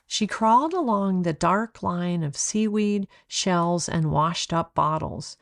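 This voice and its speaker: A woman reading her own children's story aloud as a deliberate, expressive narrator, recorded close. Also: lowish voice, creaky. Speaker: author reading own book.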